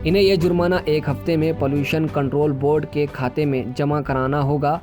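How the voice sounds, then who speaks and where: A man's voice: a little high, steady tone, fairly quick, a little mellow. A man reading scripted news as a presenter. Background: background music.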